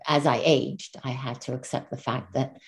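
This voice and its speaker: Low-voiced Czech-born woman guest, earnest. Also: steady tone, moderate pace. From Czech Republic. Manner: earnest, reflective, with frequent restarts. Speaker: podcast guest. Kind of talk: conversational interview. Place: Calgary, Canada.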